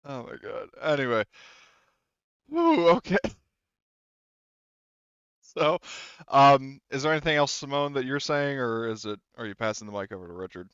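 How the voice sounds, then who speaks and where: A man acts as game master in casual table chat. His medium-pitched voice is clean and smooth, recorded very dry and a little dull. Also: very animated, moderate pace, pauses often, a bit bright, dry recording.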